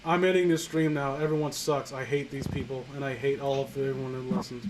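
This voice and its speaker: A man's voice: medium pitch, level tone, fairly quick, crowd noise, poor audio. A man hosting a live stream, chatting casually and bluntly about films, rambling and swearing as he gives opinions.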